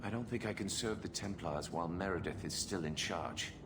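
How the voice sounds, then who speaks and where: Earnest male templar over clear noise, acted by a man. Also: low voice, fairly quick, natural voice. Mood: subdued, regretful. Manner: pleading, sincere. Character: earnest, idealistic young male templar conspirator. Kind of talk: dramatic video game acting.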